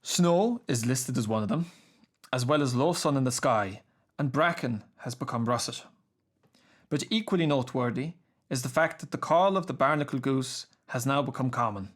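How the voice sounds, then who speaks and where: Male narrator for a scripted radio documentary. Mid-pitched, measured, precise diction. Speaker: narrator reading a radio series.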